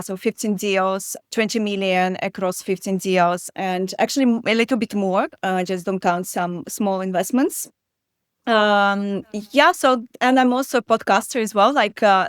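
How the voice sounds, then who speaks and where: A woman talks as an interview guest, her voice smooth and clear at a middle pitch. She pauses often with fillers and restarts her sentences. The recording is dry and close. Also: no room sound. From Belarus. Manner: hesitant, many fillers and restarts. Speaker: guest investor. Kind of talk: conversational interview.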